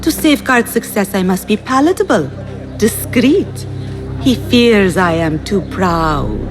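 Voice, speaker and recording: Proud, witty poet with lilting, unhurried delivery played by a woman. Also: mid-range voice, animated, music in the background, crowd noise, lightly acted. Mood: proud, confiding. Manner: eloquent, poetic, teasing. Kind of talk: theatrical game cutscene acting.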